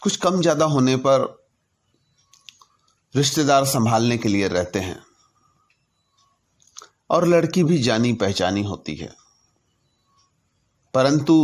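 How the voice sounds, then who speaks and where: Male narrator for anecdotes: medium pitch, unhurried and deliberate, phrase by phrase. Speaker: narrator telling his own anecdotes. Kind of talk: storytelling narration.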